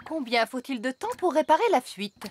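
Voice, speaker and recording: A woman's voice: high voice, animated, normal speed. A woman voicing a proper, instructive adult fairy in a cartoon. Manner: polite, explanatory.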